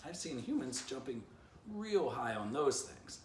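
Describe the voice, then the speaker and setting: A man voicing an excitable, scheming storybook dog who pleads and grumbles in exasperation, obsessed with catching a squirrel. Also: mid-range voice, measured pace, pitched above the performer's own voice. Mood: eager, pleading. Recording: roomy, distant mic, faint noise.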